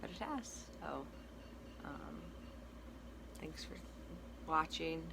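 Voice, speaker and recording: A young man with a cracky, breaking voice, giving a casual personal update in a halting, soft-spoken way. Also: a little high, wildly swooping pitch, creaky. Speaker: vlogger. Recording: noticeable background noise.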